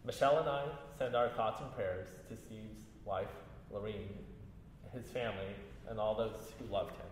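A man with a fairly low voice reads a prepared text aloud to the room. The sound is extremely echoey, with long hall reverb and clear background noise. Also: normal speed. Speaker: librarian reading at a public event. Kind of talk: reading prepared texts aloud. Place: large reverberant room at a library event.

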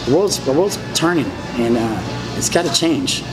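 A man's voice: mid-range voice, very animated, unhurried, over music, rough recording. A man in his forties telling his story as an interview guest, rambling, emphatic and repetitive, gesturing broadly as he talks. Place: indoor restaurant booth.

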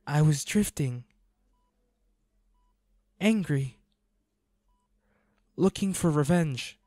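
A man playing a devoted, grieving young hunter in an audio drama, speaking intimately in a halting, pleading, emotional way to an injured lover. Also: on the high side, a little mellow, dry recording, clean studio sound. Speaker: audio roleplay voice actor.

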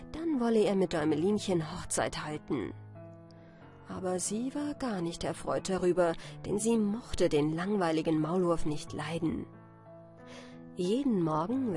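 A woman's voice: lowish voice, a little mellow. A woman narrating a bedtime fairy tale as a scripted audiobook. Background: music.

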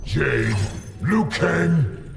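An actor playing a ruthless, domineering warlord emperor in a fighting game, with a gravelly voice. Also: male voice, a little high, expressive, drawn-out words, echoey room, over music, full character voice. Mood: cold menace. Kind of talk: fighting game character dialogue.

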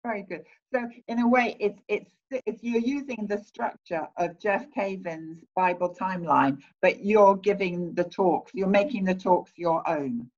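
Woman interviewer, gentle prompting, dull lo-fi call sound. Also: medium pitch, a little slow, hardly any pauses.

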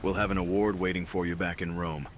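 A man's voice: low-pitched, flat delivery, quick pace, slight background noise, natural voice. A man playing a brave young Roman soldier who takes charge with urgent orders in an audio drama. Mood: gruff, firm. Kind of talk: audio drama roleplay acting.

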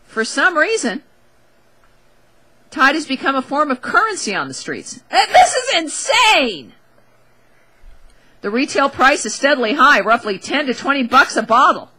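Bright, higher-voiced woman talk radio host. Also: big pitch swings, normal speed. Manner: wry, conversational, emphatic. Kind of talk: opinionated casual radio monologue.

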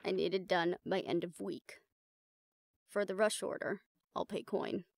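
A woman's voice: lowish voice, steady tone, a little slow, gravelly, no echo, clean studio sound. A woman playing a confident, teasing monster hunter in an audio roleplay, with a wry, coaxing manner. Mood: businesslike, discreet. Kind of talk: intimate scripted audio drama acting.

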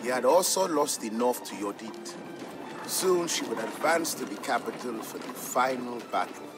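A man giving a scripted, measured and expressive story narration for a historical game. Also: medium pitch, music in the background.

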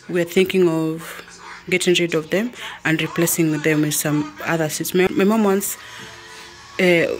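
A Kenyan woman gives a casual home tour, speaking slowly in a slightly low voice. She repeats phrases as she points things out, with music playing behind her. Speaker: vlogger. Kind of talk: casual house tour narration. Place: indoors, living room of a family home. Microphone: handheld phone or camera held by the speaker.

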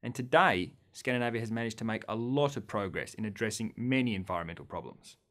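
A man's voice: lowish voice, quick pace, a bit bright. Upbeat man from Australia lecturing. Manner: clear, upbeat presenting with open gestures. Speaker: course lecturer. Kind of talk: scripted lecture to camera. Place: studio against a green screen.

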